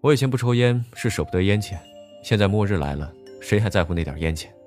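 A man's voice: fairly low pitch, animated, fast, a little mellow, natural voice. A man playing a bookish young student loner in an audio drama, speaking matter-of-factly. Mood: flat, resigned. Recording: music under the voice.

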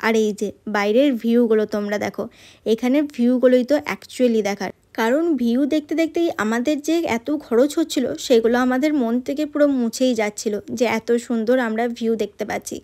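A woman's voice: fairly high pitch, a little fast, a little mellow. A woman narrating her road trip vlog in a chatty voice-over, talking straight to her viewers. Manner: chatty, addresses viewers directly with tag questions. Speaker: travel vlogger.

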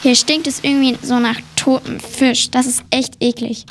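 A woman's voice: sits high, level tone, normal speed, a little hiss or hum. A female performer playing a blunt, uneasy young girl in an audio drama. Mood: disgusted. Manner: complaining, blunt.

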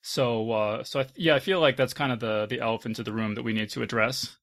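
A man's voice: mid-range voice, fairly even intonation, fast, fairly bright tone. A man hosting a casual podcast discussion and steering the conversation. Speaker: podcast host.

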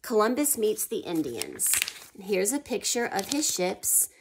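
A woman's voice: mid-range voice, sing-song, a little slow, background noise. A woman teaching history to young children, reading aloud and stopping to ask and answer her own questions, sometimes dropping to a whisper. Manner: asks children questions and answers them herself; drops to a whisper for suspenseful parts.

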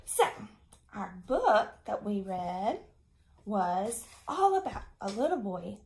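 A woman in her thirties giving young children a lesson, speaking as an encouraging, deliberate teacher. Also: medium pitch, sing-song, vocal fry, a little mellow. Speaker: elementary school teacher. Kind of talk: children's video mini lesson.

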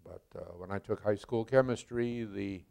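Deep gravelly older man, halting. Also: a little mellow. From Milwaukee, Wisconsin. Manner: slow, halting, many filler pauses. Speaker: retired chemistry professor. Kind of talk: oral history interview.

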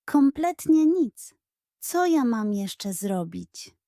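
A woman's voice: fairly high pitch, big pitch swings, very slow, mellow, dry recording, studio quality, full character voice. A woman voicing a mischievous, dramatic schoolboy prankster in a children's audio storybook. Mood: frustrated. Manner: theatrical, muttering and whispering to himself.

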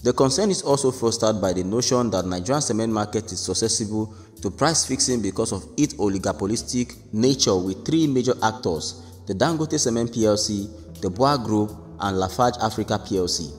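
A man narrating a scripted news report in a steady, even read-aloud delivery. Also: fairly low pitch, brisk. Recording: long reverb with faint background music.

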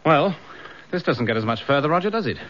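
An actor playing a wry, urbane, self-deprecating writer in a radio drama, with an English accent. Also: male voice, fairly high pitch, expressive, fast, a bit bright. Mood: curious, puzzled. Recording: dull, noisy radio recording.

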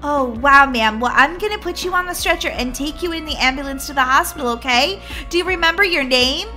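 A woman playing a brisk, kindly female paramedic in a children's skit, reassuring and a little bossy. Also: sits high, bright, forceful tone, soft background music. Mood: take-charge. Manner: soothing, bossy, calls patients honey. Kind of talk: playful skit role-play for children.

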